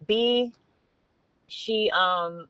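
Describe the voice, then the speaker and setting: A woman's voice: mid-range voice, very slow, a bit bright, clear, resonant tone, no room sound. A middle-aged woman chatting casually as a guest on a phone call. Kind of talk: casual chat. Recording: dull noisy phone call.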